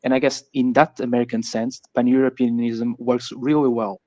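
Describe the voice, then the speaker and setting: A man's voice: medium pitch, flat delivery, normal speed, darkish tone. A man from Portugal with a Portuguese accent, speaking in a casual discussion and often repeating words as he searches for phrasing. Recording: muffled, echoey voice-chat audio.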